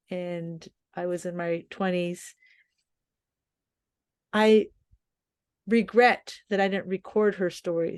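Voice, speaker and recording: An older woman from the US-Mexico border, bilingual in English and Spanish, talking about stories in a thoughtful, measured conversation with frequent restarts. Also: mid-range voice, a little mellow, clean tone, dry recording. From US-Mexico border region. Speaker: columnist. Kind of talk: reflective conversation.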